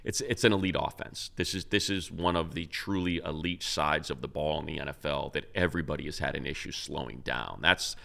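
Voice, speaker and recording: A man's voice: very deep voice, brisk, vocal fry, few pauses, bright, forceful tone. A man co-hosting a casual sports talk show, talking loosely with many restarts and fillers. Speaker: co-host, radio play-by-play announcer.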